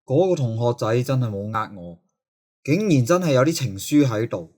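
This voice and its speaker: A man acts a sly schoolteacher in a radio drama, with a Hong Kong accent. His voice sits at a middle pitch and has a smug, furtive scheming tone. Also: lively intonation, moderate pace, a little mellow, a little hiss or hum, close to an everyday voice. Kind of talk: youth radio drama acting.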